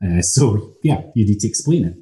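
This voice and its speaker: Scottish male lecturer, measured and thoughtful; very dark, low-pitched voice. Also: animated. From Scotland. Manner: measured, thoughtful, frequent ums. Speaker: academic lecturer. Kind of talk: casual academic conversation.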